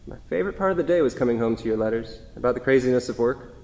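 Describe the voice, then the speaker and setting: A man's voice: medium pitch, animated, racing, darkish tone, lightly acted. A man playing a wistful, self-deprecating, devoted husband who reminisces conversationally in a staged play reading. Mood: wistful, tender. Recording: long hall reverb.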